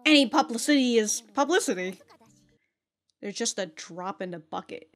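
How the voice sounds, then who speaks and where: A woman's voice: a little high, animated, normal speed. A woman giving casual, slangy reaction commentary and swearing freely as the host. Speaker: host of an anime reaction show.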